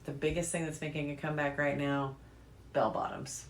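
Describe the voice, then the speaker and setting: A woman's voice: low voice, little pitch movement, a little fast. A middle-aged American woman co-hosting a podcast in casual, bantering conversation. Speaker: podcast co-host. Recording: echoey room, faint background noise.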